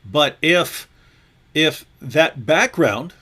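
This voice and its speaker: A man co-hosting a religious debate talk show, speaking in a challenging, animated way and mimicking his opponents. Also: on the high side, extremely slow. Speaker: co-host.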